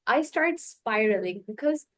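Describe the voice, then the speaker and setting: Young woman, native Urdu speaker, podcast host; bright-pitched, chatty, laughs a lot. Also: lively intonation, a little slow. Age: young adult. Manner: chatty, laughs often, many filler likes. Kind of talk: casual podcast chat.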